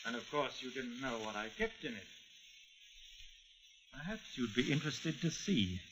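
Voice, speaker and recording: An actor playing a smooth, condescending murderous villain in a 1940s radio thriller. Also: male voice, mid-range voice, sing-song, normal speed, close to an everyday voice. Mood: smooth, sly. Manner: suave, mocking, condescending. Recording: muffled, hissy 1940s radio recording.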